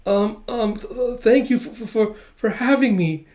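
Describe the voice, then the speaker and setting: A man voicing an excitable, lovestruck and haughty rich little girl in a gushing, dramatic way for a comic read-aloud. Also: high voice, normal speed, pitched above the performer's own voice, close to an everyday voice. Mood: swooning, flustered.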